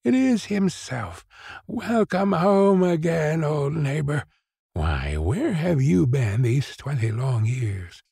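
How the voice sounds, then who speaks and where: A man voicing a kindly, amazed old village woman who exclaims slowly in a storybook reading. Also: on the high side, very animated, vocal fry, mellow, slightly rough, no echo, clean studio sound, pitched above the performer's own voice, full character voice. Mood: amazed, welcoming.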